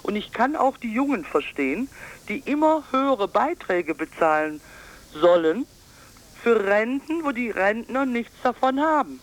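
A woman giving a political radio interview as an advocate, emphatic and insistent, sometimes halting. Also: on the high side, big pitch swings, low-quality recording. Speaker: pensioners' advocacy group board member, interviewee.

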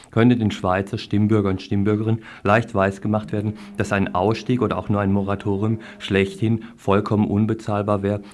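A man's voice: lowish voice, darkish tone. A man presenting scripted news commentary on the radio in long, formal sentences.

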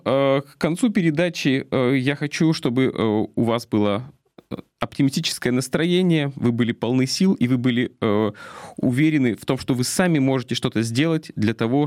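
Middle-aged man, halting radio commentator, dry studio. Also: medium pitch, a little mellow, dry recording. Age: 40s. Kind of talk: political commentary on community radio.

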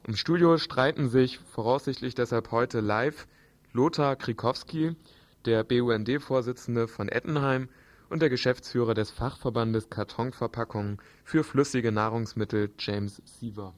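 Mid-pitched man presenting radio news, dry sound. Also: normal speed, no room sound. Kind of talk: semi-scripted news preview.

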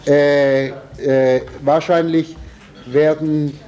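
A man speaking spontaneously in a discussion, in halting, fragmented phrases. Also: on the high side, fairly even intonation, dark tone.

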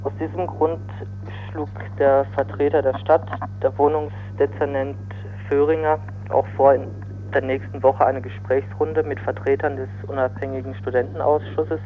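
A man reading out a scripted radio news report by telephone, stumbling and halting now and then. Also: medium pitch, little pitch movement. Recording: noisy, humming, narrow telephone line.

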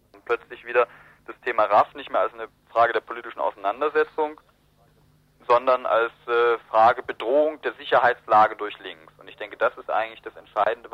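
A man giving analytical, discursive political commentary as a guest in a radio interview. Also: on the low side. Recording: roomy, muffled radio interview.

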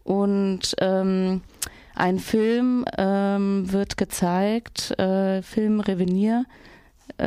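Female community radio presenter, soft dark tone, dry close sound, halting delivery of informal announcements. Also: mid-range voice, smooth, no echo. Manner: halting, reading out dates. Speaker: radio host.

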